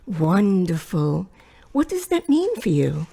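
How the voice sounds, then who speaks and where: Woman acting a fussing mother, swooping, creaky voice. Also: fairly low pitch, sing-song, slow, dark tone, full character voice. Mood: fussing, curious. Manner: fussing, maternal, probing. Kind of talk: audio drama acting.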